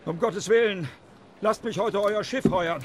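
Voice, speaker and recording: Radio play casting: imploring captain and desperate father, raised high voice over sea noise. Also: unhurried. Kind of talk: radio drama acting. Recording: noisy water ambience behind.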